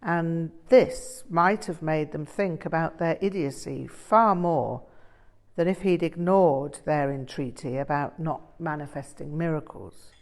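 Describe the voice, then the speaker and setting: Eager, argumentative male student, respectful and emphatic, unhurried read by a woman. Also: low-pitched. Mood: emphatic, explaining. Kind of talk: audiobook character dialogue.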